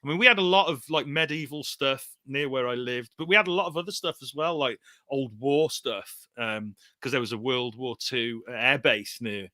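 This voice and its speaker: Bright, dry-sounding male co-host. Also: medium pitch, moderate pace, few pauses, dry recording. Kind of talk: live podcast chat.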